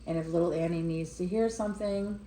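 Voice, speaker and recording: A woman leading a guided meditation in a gentle, unhurried way, speaking in short phrases with pauses between them. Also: on the low side, reverberant, a little hiss or hum, poor audio. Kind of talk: guided meditation, slow soothing narration.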